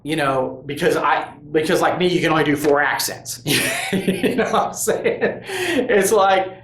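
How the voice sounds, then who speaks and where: A man's voice: a little high, animated, unhurried, fairly bright tone, a little hiss or hum. Earnest, rambling man in an echoey room. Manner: rambling, earnest, with many restarts and "you know". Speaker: host of a tabletop gaming crafts channel. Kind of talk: casual opinion monologue to camera.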